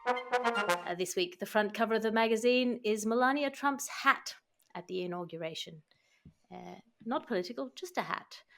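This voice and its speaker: A woman's voice: on the high side, moderate pace, soft background music. A woman hosting a satirical news podcast, speaking in a wry, wordy, ironic way between script and banter. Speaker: podcast host.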